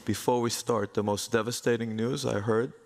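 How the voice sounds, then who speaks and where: A middle-aged man with an Israeli accent speaking as an executive guest in a conference interview, in measured phrases with pauses. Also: mid-range voice, fairly even intonation, darkish tone. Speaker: real estate investment executive, panel guest. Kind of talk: conference interview, anecdotal talk. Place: conference hall stage. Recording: reverberant hall sound.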